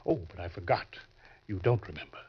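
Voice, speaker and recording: An actor playing a crafty, genial older swindler in an old-time radio crime drama, speaking in a suave, deliberate way. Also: male voice, low voice, breathy edge. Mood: sly, assured. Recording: dull vintage radio recording.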